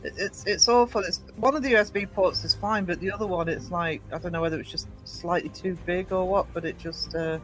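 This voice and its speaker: A woman's voice: fairly low pitch, steady tone, a little fast, few pauses, soft background music, rough recording. A woman hosting a live stream at a desk mic, chatty and full of fillers, coughing from hay fever with her voice going. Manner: chatty, greets chat by name, lots of fillers and restarts. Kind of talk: casual live stream chat. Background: quiet self-made tune playing.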